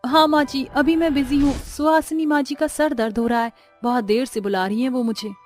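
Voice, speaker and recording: A woman voicing a dutiful, hurried young daughter-in-law in a cartoon family story, obliging in manner. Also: high voice, fairly even intonation, soft background music, close to an everyday voice. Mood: obliging, harried.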